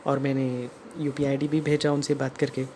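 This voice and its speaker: Indian vlogger over clear background noise. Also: medium pitch, level tone, normal speed, a little mellow. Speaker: customer narrating own complaint as video creator. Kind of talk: explanatory vlog narration mixing in English phrases.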